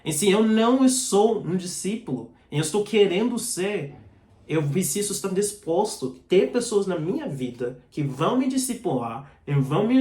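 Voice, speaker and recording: Earnest preacher with a foreign accent, echoey room. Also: male voice, a little high, a little mellow. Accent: foreign accent in Portuguese. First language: not Portuguese. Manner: earnest, direct teaching, reading out verses. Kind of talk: sermon.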